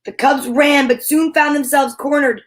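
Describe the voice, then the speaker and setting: A woman's voice: high voice, fairly even intonation, moderate pace. A woman hosting a story time, reading a children's tale dramatically with comic asides in a theatrical manner. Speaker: host and storyteller.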